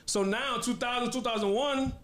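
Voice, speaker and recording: A man in his forties with a New York accent hosts a relaxed street-style interview. His voice sits high for a man and keeps jumping with excitement as he talks. He stumbles and restarts as his thoughts run ahead of him. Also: normal speed. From Bronx, New York. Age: 40s. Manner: animated, excitable, frequent restarts. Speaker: host. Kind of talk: casual interview.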